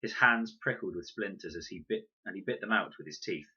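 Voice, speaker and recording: A man reading a children's novel aloud in an expressive narration style. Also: low-pitched, fairly quick, breathy edge, highs cut off. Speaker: audiobook narrator, reader.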